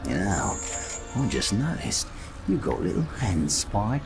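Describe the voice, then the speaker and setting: An actor playing a hulking, slow-witted, easily amused rat henchman with a gravelly voice and lumbering manner in a video game. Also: lowish voice, huge pitch swings, music in the background, full character voice. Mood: dim amusement.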